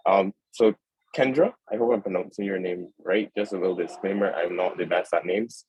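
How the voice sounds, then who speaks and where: A man moderating a webinar panel, friendly and chatty, telling a quick anecdote before inviting the next speaker. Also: deep voice, a little mellow, slightly rough, no echo, rough recording. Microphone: video call.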